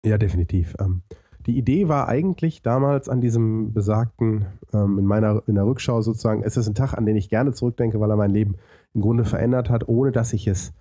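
A man speaks with a very mellow, slightly low voice and an audible lisp. He answers like an interview guest, laying out his story in structured points with hesitations. The recording is very dry. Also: a little fast, no room sound. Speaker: interview guest, podcaster and consultant.

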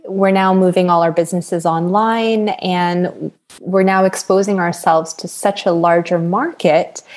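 A woman's voice: fairly low pitch, darkish tone. A woman, a business English trainer, answering interview questions in a chatty way with frequent fillers.

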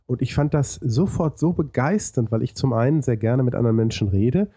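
A man's voice: mid-range voice, lively intonation, brisk, mellow, breathy edge, no echo. A man with a lisp telling his story as a podcast interview guest, explaining point by point with frequent hesitations.

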